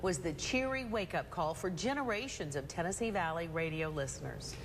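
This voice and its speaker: A woman news anchor introducing a report in a crisp, formal way. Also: lowish voice, expressive, brisk, in a large hall, a little hiss or hum. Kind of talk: broadcast news narration.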